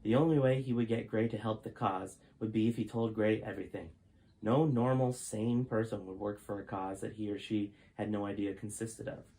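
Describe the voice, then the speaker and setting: A man's voice: lowish voice, steady tone, moderate pace, dark tone, low-quality recording. A man narrating his own novel in a plain reading voice, with occasional slips and restarts. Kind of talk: storybook narration.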